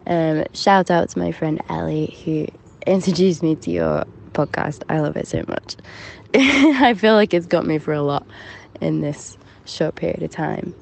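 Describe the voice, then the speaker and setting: A woman's voice: sits low, very animated, unhurried, gravelly, a little mellow, a little hiss or hum. A young woman from Hampshire with a shifting, mixed English accent, leaving a chatty, giggly voicemail for a podcast. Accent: mixed English, shifting between southern and northern. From Hampshire, England. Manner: chatty, giggly, self-deprecating. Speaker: caller.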